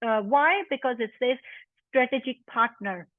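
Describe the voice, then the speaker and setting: A woman's voice: a little high, unhurried, no echo. A woman hosting an online business panel, enthusiastic and emphatic as she sums up and reads out questions. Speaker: host. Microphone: video call. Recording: tinny dry phone-like line.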